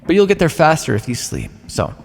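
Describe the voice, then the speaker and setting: A man playing a condescending, conflicted vampire captor and scientist in a theatrical ASMR roleplay, teasing the listener with sighs and drawn-out words. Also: on the high side, wildly swooping pitch, gravelly, big-room sound, a little hiss or hum, heavily acted. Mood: smug, teasing.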